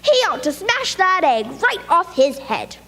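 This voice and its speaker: A woman voicing a bossy male worm who yells in an argument with his wife. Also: extremely high pitch, big pitch swings, unhurried, exaggerated voice. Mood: angry. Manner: yelling, insistent.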